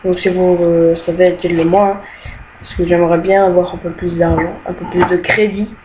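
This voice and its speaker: A woman's voice: fairly low pitch, little pitch movement, a little fast. A young woman casually showing her rooms in an online game, with many hesitations and easily annoyed by glitches. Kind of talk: casual screen-recorded game tour. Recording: dull lo-fi home mic with faint hiss.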